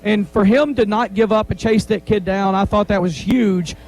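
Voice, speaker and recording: A man, a high school football coach, giving a plain-spoken postgame interview on the field and talking through the game's key plays. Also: steady tone, moderate pace, a little mellow. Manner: plain-spoken, rambling, repeats himself. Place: on the field after a game.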